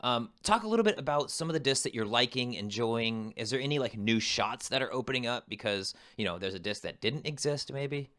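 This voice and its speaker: Upbeat guy interviewing, bright punchy voice, middle pitch, rambles a bit. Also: animated. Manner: upbeat, quick, asks rambling questions. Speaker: interviewer, channel host. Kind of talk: casual interview chat.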